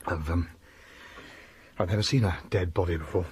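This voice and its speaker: An actor playing an anxious, impatient young gentleman heir in a radio drama, earnest and blunt. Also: low voice, normal speed, slight background noise, lightly acted. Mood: shaken.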